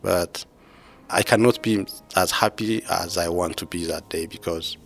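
A man in his thirties from Ethiopia, soft-spoken and laughing often as he talks with his former co-advisor. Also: sits low, steady tone, measured pace, creaky, soft background music. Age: 30s. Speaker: former PhD student, interviewee. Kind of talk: casual conversation.

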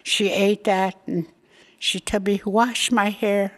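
Slow-spoken elderly woman in her eighties. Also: mid-range voice, level tone. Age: 80s. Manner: slow, halting storytelling with pauses. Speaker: elder interviewee. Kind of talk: personal life story.

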